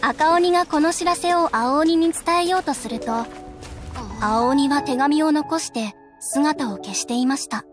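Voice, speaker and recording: High-voiced folktale storyteller. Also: female voice, unhurried, music in the background. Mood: gentle narrating. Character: calm female storyteller of a children's folktale. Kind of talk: storybook narration.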